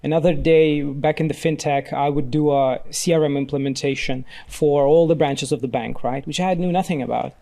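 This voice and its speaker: A man from Ukraine talks through his career as a podcast guest. His voice sits a little high for a man but sounds mellow and relaxed. He speaks reflectively, pausing on fillers and restarting sentences. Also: vocal fry. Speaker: podcast guest, company CEO. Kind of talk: relaxed interview talk.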